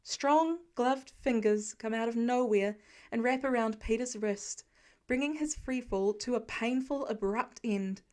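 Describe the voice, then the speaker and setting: High-pitched woman narrating a story. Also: a little slow, a little mellow. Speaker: podfic narrator. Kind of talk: scripted story narration, present tense.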